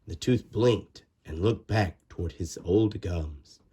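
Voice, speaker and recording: A man reading a children's storybook aloud in an expressive, dramatic way with drawn-out words and pauses. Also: low-pitched, slightly rough. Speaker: storybook reader. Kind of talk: animated children's read-aloud narration.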